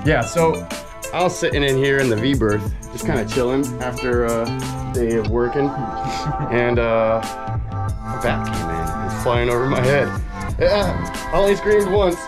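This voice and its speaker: A man's voice: medium pitch, lively intonation, measured pace, loud music behind the voice. A middle-aged man joking around and telling a story as a crew helper, making fun of himself, filmed on an action camera inside a small boat cabin. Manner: joking, self-mocking. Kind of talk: joking banter.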